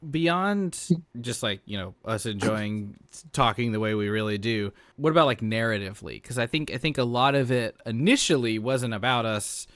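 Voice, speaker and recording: A man with a clean, smooth voice co-hosts a casual podcast, recorded close and dry. He speaks candidly and informally at a middling pitch. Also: animated, moderate pace, few pauses, a bit bright, dry recording. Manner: candid, informal, freely sweary. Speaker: podcast co-host.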